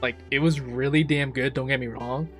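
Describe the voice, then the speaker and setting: A man's voice: mid-range voice, steady tone, moderate pace. A man giving casual, emphatic, enthusiastic commentary as a game reaction streamer. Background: faint game music.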